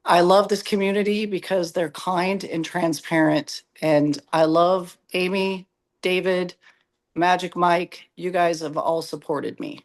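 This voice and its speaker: A co-host with a raspy voice chiming in candidly during a coaching webinar. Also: mid-range voice, steady tone, measured pace. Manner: candid, teasing asides. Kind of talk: casual chat in a webinar.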